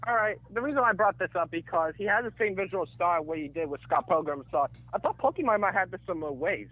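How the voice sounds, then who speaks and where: A man's voice: sits high, lively intonation, fast talker. A man calling in to a show, chatting casually over a muffled lo-fi line. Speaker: caller. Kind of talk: casual call-in chat.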